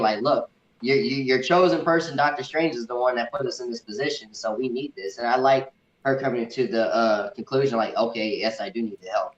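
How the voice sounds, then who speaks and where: A man's voice comes through echoey and a little dull, as if in a reverberant room. He speaks at a middling pitch, an enthusiastic fan in casual film talk who often doubles back on his words. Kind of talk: casual movie review chat.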